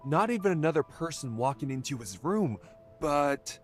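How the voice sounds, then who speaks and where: An actor voicing an anxious, gossip-hungry middle-aged tabloid reporter in a game, nervous and dramatic in delivery. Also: male voice, a little high, expressive, moderate pace, soft background music, close to an everyday voice. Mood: eager, hushed gossip. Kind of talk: English game voice acting.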